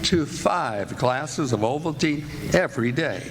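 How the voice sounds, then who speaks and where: Male announcer for vintage-style radio ads, slightly high pitch, long hall reverb, loud music behind. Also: animated, measured pace, very noisy. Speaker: announcer and host of a radio theatre show. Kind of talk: old-time radio advertising announcer.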